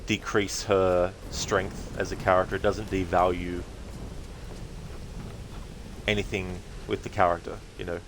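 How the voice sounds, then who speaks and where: A man giving casual, reflective livestream commentary as a game streamer, speaking haltingly in short phrases. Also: deep voice, level tone, noisy background.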